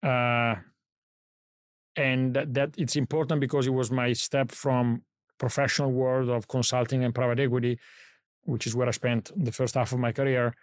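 A man's voice: mid-range voice, little pitch movement, normal speed, dry recording, clean studio sound. Italian-accented man speaking thoughtfully. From Italy. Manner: reflective, thinks aloud while telling his story. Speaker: guest, company founder. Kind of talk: podcast interview. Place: studio.

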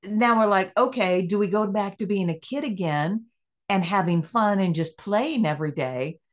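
A woman's voice: mid-range voice, moderate pace. A middle-aged woman speaking as a podcast guest, reflective and easy to laugh, voicing her own inner questions as she talks. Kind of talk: conversational interview.

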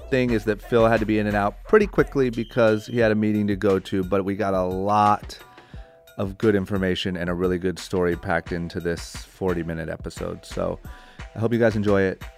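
Male podcast host, low-pitched, eager and rambling, casual. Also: fairly even intonation, normal speed, faint music behind. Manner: eager, rambling, many fillers and restarts. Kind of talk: casual interview chat.

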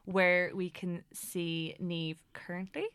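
A woman's voice: on the low side, little pitch movement, slow, a bit bright, clear, resonant tone, no echo, studio quality. A woman co-hosting an interview, listening supportively and asking questions. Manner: supportive listener with frequent agreeing murmurs and laughs. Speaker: podcast co-host. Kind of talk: casual interview chat.

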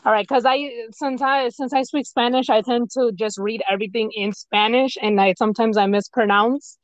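A woman's voice: fairly high pitch, fairly even intonation, normal speed, dry recording. A woman with an American accent hosting a podcast, speaking in an informal, conversational way. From United States. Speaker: podcast host. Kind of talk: casual interview chat.

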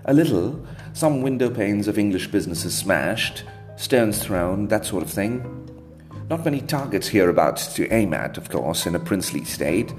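A man's voice: on the low side, lively intonation, moderate pace, natural voice. A man reading aloud voices an anxious, deferential British equerry who speaks politely and hesitantly, with faint guitar music behind. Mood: measured. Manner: polite, hesitant, keeps saying sir.

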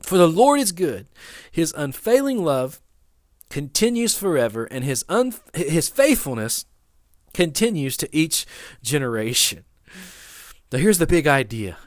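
A man, a father of young sons, giving a conversational sermon that opens with a personal story. He talks in a loose, halting way, with many restarts and fillers. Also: fairly high pitch, no echo, studio quality. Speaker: pastor.

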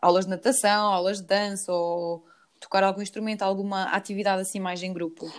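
A woman's voice: mid-range voice, level tone, a little fast. A woman from Switzerland hosting a podcast interview in a chatty, conversational way. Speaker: podcast host.

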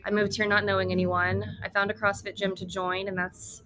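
A woman's voice: medium pitch, flat delivery, fairly quick, sharp tone, slight background noise. Dry, teasing young woman from Houston. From Houston, Texas. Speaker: podcast guest, yoga instructor. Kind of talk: casual podcast chat.

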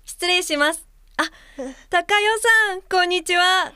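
A woman's voice: very high voice, takes it slowly, bright, forceful tone, exaggerated voice. A woman playing a perky, nosy guide helper with a teasing, exclamatory, slangy way of talking in an audio drama. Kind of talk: audio drama acting with narration.

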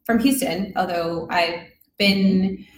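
A woman's voice: lowish voice, slow. A young adult woman from Mexico, a podcast guest telling her story in casual, earnest conversation. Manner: earnest, storytelling, laughs easily. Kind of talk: casual interview chat. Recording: echoey room with faint noise.

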